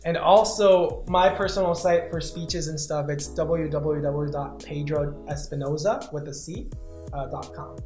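A man's voice: fairly high pitch, fairly even intonation, unhurried, over music. A young man in his twenties from Peru, a startup founder answering interview questions earnestly and listing his points one by one, on a lapel mic in an office. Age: 20s. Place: glass-walled open office, indoors. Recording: roomy office echo.

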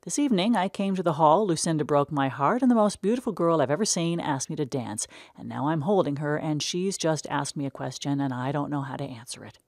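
A woman's voice: deep voice, animated, fairly quick, hardly any pauses, darkish tone, dry recording. An awkward, lovesick young farm man, as a woman narrator puts him on, rambling when flustered. The voice stays close and dry.

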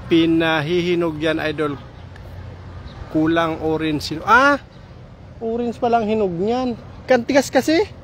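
A man's voice: on the high side, slow, long pauses, slight background noise. A man from Samar vlogging casually on a phone as he walks through a park by a pond, chatting and exclaiming to his viewers. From Samar, Philippines. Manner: chatty, excited exclamations, calls viewers "idol". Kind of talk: casual walking vlog, talking to viewers. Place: outdoor park by a pond, daytime.

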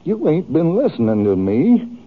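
Grief-maddened western farmer bent on revenge. Husky, rustic, confused. Narrow, phone-like vintage radio sound. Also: male voice, on the high side, wildly swooping pitch, normal speed. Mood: angry, insistent. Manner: rustic, rambling, ungrammatical speech. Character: wounded, grief-crazed, vengeful frontier farmer, confused and bitter. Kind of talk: 1950s radio western acting. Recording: muffled, tinny old radio recording.

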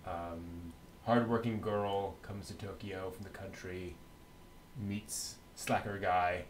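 A middle-aged man co-hosting a news show in a casual, chatty way with a halting delivery. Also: low-pitched, fairly even intonation, slight background noise, low-quality recording. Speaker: co-host of an anime news show.

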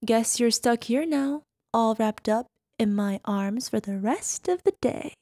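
Woman acting as playful affectionate wife, ASMR roleplay, flirty, breathy asides, touch of fry, close dry sound. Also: fairly high pitch, lively intonation, measured pace, warm tone, no room sound. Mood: playfully smug, affectionate. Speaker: voice actor.

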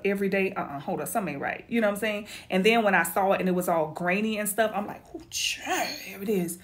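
A woman chatting casually to her viewers in a vlog, animated and talkative. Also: medium pitch. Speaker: vlogger.

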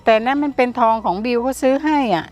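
A woman's voice: high voice, lively intonation, quick pace, a little mellow. A woman playing a worried older aunt in a skit, with music behind. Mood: hesitant. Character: worried older aunt, later vengeful ghost.

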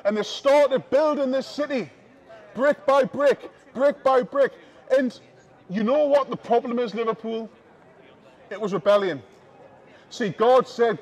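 A man with a strikingly high voice and a Northern English accent preaches outdoors on a busy shopping street. He speaks through a small headset mic and calls out to the passing crowd in an open-air sermon. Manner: preaching loudly to passers-by, calling out to the crowd.

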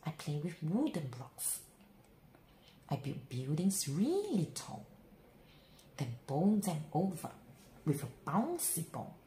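A woman reads a bedtime picture book to young children in a slow, deliberate way. Her voice sits fairly low and swoops widely with dramatic, stretched-out words. The room adds a clear echo around her. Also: big pitch swings, mellow. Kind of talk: expressive children's bedtime story read-aloud. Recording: roomy echo, faint background noise.

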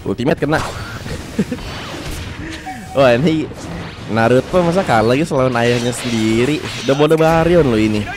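A young man with a stuffy, blocked nose giving excitable, slangy play-by-play of a fighting game and talking straight to his viewers. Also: medium pitch, moderate pace, pauses often. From Indonesia. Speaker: gaming commentator. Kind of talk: casual gameplay commentary. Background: game music.

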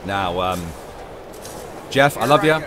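A man's voice: deep voice, lively intonation, slow pace, background noise. A man with an English accent reading news articles aloud on a stream, with sarcastic, swearing asides. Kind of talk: casual commentary while gaming. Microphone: desk mic with webcam. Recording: loud game gunfire over the voice. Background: loud game gunfire.

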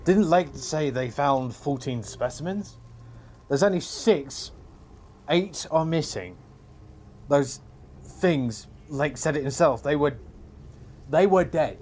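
A man's voice: fairly high pitch, expressive, slow, pauses often, a little mellow, slight background noise. A man playing a blunt, coarse and agitated expedition member in an audio drama. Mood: agitated, insistent. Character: gruff, angry male expedition man, blunt and suspicious.

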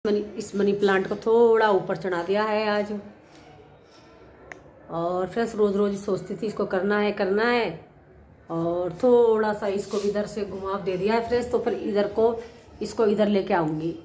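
A middle-aged woman hosting a gardening vlog, hoarse from a cold and chatty, talking to viewers on a phone held at arm's length on her rooftop terrace. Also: medium pitch, moderate pace, darkish tone, a little hiss or hum. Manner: chatty, repeats "friends" often. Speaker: gardening channel host. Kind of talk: casual vlog talk to viewers. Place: home rooftop terrace with plants, outdoors.

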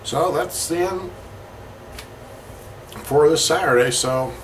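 A middle-aged man talking in a casual, rambling video diary, halting and pausing mid-sentence. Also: fairly high pitch, distant mic, slight background noise. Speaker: road-trip vlogger. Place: parked vehicle cabin, later a small motel room. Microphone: camera at about arm's length.

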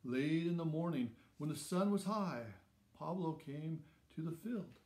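A man in his sixties narrates a children's story at a slow pace. His phrasing is measured and simple, with dramatic emphasis on key words. The recording has a clear room echo around the voice. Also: a little high, animated, darkish tone. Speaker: storybook reader.

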